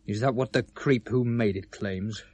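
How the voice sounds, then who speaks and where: A man's voice: on the low side, a little slow, a little mellow. A man acting in a 1970s British radio drama, playing a proud, defensive photographer with an indignant, insistent manner. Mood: disgusted, defensive. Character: proud, defensive male picture-postcard photographer under questioning. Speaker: actor in a radio play.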